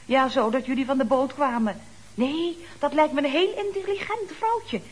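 A woman's voice: high-pitched, moderate pace, natural voice. A woman playing a chatty, ironic secretary at a building firm in a Dutch radio comedy serial, speaking with arch, mock-polite expressiveness. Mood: sweetly pointed. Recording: noisy old radio recording.

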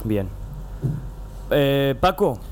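A man's voice: mid-range voice, sing-song, drawn-out words, a little mellow, slight background noise. A man playing a curt, impatient director. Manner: brusque and dismissive. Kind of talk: comic radio drama.

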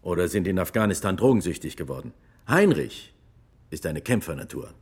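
An actor playing a retired, war-weary army officer who testifies gravely and emphatically in a courtroom radio drama. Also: male voice, low-pitched, sing-song, fairly quick, a little mellow, exaggerated voice. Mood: firm, reflective.

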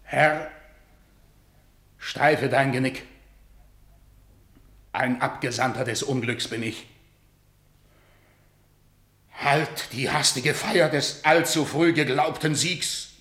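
Messenger of defeat: unhurried, solemn verse, roomy room. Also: male voice, mid-range voice, fairly bright tone. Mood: urgent. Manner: urgent, grave declamation. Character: male messenger bringing news of defeat. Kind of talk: classical verse radio drama. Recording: roomy studio echo.

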